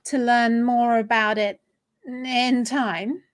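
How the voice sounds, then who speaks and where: A woman's voice: fairly high pitch, steady tone, slow pace. A woman hosting a developer livestream, chatting in a playful, bubbly way. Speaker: host. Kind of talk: casual chat on a livestream.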